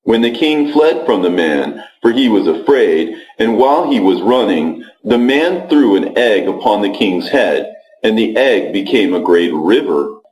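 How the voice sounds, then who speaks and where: Male scripture narrator, lowish register, formal and solemn read-along delivery, audibly echoey space. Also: expressive, measured pace, darkish tone.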